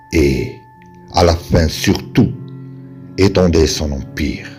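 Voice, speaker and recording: A man solemnly reciting poetry in a measured, declamatory way with dramatic pauses. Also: deep voice, darkish tone. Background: music.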